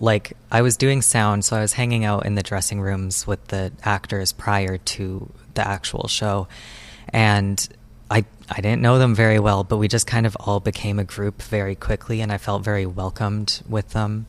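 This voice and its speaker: A man's voice: fairly low pitch, fairly even intonation, moderate pace. A young man answering questions casually as an interview guest. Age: young adult. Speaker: student theater production member, interviewee.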